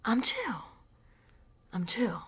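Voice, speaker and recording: Actress doing a scared young woman coming apart, radio drama monologue, highish voice, really swingy pitch, talks casual and halting. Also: wildly swooping pitch, husky, full character voice. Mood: hurt, self-soothing. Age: young adult. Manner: colloquial, halting, slow, drifting into hushed tones.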